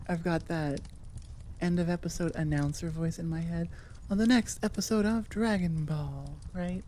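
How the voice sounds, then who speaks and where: Woman, lowish mellow voice, casual creator chat, rambling, playful, self-correcting, faint background noise. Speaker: ASMR creator, channel host. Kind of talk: casual chat outro to listeners.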